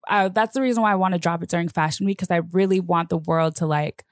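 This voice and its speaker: A young woman from New York with a soft voice, a musician chatting casually as an interview guest, full of fillers and easy laughs. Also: fairly low pitch, steady tone, quick pace, no room sound, studio quality.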